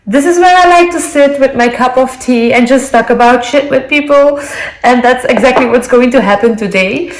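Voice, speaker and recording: A woman from Belgium, a Dutch native speaker with a Belgian Dutch accent, chatting casually and apologetically to camera from her living room floor. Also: on the high side. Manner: apologetic, gushing, searching for words. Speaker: book vlogger. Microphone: earbuds. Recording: roomy home audio on earbuds.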